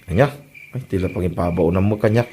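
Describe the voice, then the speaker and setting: A man playing a protective husband and older brother in a comedy drama, questioning and gruffly indignant. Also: low-pitched, fast talker, mellow. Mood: puzzled, reluctant. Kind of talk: comedy drama voice acting in Ilocano.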